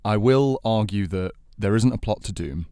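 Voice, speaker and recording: Low-pitched man debating films, dry recording. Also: animated, moderate pace, dark tone, smooth, no echo. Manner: opinionated, emphatic. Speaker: podcast co-host in a film debate. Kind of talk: casual panel debate.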